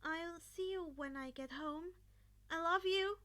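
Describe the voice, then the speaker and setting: A kind mother performed by a female reader with a high, gentle voice. She is loving and reassuring but worries about her teenager, as in an audiobook story. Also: measured pace. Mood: worried, loving. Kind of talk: fan fiction audiobook character voice.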